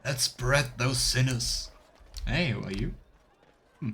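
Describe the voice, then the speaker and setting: A man's voice: mid-range voice, slow, bright, forceful tone, soft background music. A man doing a let's play, reading quest text aloud and making casual asides as a game streamer.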